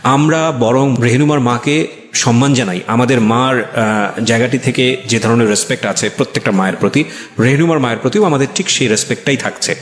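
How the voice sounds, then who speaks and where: A man with a Bangladeshi accent hosting a life-story interview, speaking to listeners in a measured, deliberate way and mixing in English phrases. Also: mid-range voice. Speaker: radio show host. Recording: echoey room.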